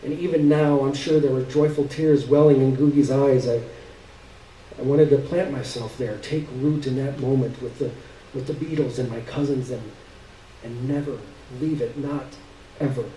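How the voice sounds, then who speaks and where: A man giving an expressive live reading of a literary story, with dramatic, halting pauses. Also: mid-range voice, a little hiss or hum. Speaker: story reader. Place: live stage reading indoors. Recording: roomy, distant stage recording.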